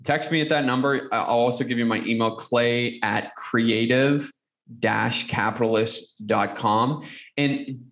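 Gravelly earnest man in an echoey room. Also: medium pitch, measured pace, fairly bright tone. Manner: earnest, motivational. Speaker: podcast guest. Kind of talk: conversational interview answers.

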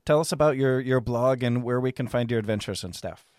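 A man's voice: mid-range voice, a little fast, darkish tone, no room sound. A man hosting a podcast, chatting casually and joking, often laughing. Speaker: podcast host. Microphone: Skype call.

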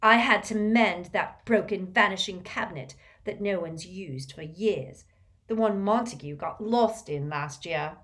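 A woman voicing a tense, defiant teenage boy wizard who speaks forcefully and vehemently. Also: a little high, huge pitch swings, unhurried, voice pushed up, full character voice. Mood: boastful.

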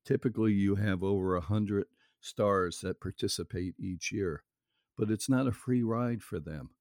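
Deep-voiced guy hosting an interview, slow and deliberate, dry studio sound. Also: a little mellow, no echo. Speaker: interview host.

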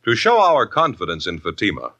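A man's voice: on the low side, huge pitch swings, a little fast, sharp tone, no echo. An American man reading a 1950s radio advertisement as an announcer, with an emphatic, polished sales delivery. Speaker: commercial announcer.

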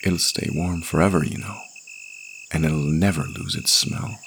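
A man voicing a gentle, motherly woman in a story, coaxing and comforting a child softly. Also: extremely low pitch, a little slow, noisy background. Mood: faltering tenderness.

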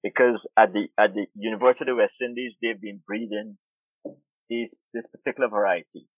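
A man's voice: fairly low pitch, steady tone, brisk, dry recording. A middle-aged man from Guyana, speaking as an interview guest about farming, thoughtful and often repeating words as he searches for them. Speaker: urban farmer and food activist. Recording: tinny phone-like line.

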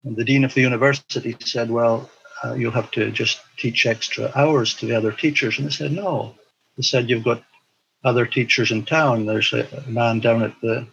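An older man reflecting on his past in an interview, speaking in a hesitant, halting way. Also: mid-range voice, vocal fry, a bit bright, dull audio, a little hiss or hum. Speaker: interview guest, former teacher abroad. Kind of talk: recollection in an interview.

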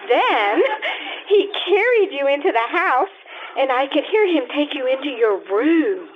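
A woman's voice: sits high, animated, unhurried, noisy background. A woman playing a nosy young girl in an old-time radio play, coyly and hesitantly telling tales with dramatic relish. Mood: coy, tattling. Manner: coy, hesitant, storytelling. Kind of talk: old-time radio acting, live stage performance. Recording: thin, phone-like lo-fi stage recording.

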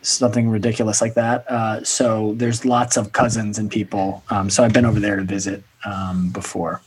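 A man's voice: fairly low pitch, level tone, moderate pace, slightly rough, rough recording. A man in casual podcast chat, rambling with lots of fillers and restarts.

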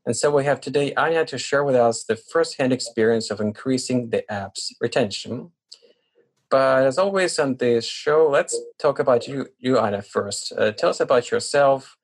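Measured male podcast host, medium pitch. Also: lively intonation. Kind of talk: podcast interview hosting.

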